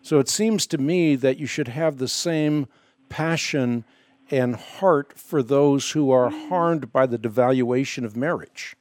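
A man's voice: medium pitch, measured pace, a little mellow, no echo. A man born in the Netherlands with Dutch as his first language, pressing a caller with repeated questions in a radio debate.

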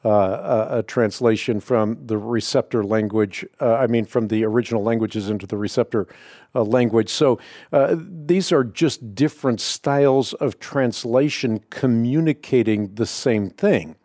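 Male call-in host, mellow and dry, measured teacher's tone. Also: medium pitch, no echo. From Netherlands. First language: Dutch. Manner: explanatory, measured, frequent uh fillers. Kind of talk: call-in radio Bible answers.

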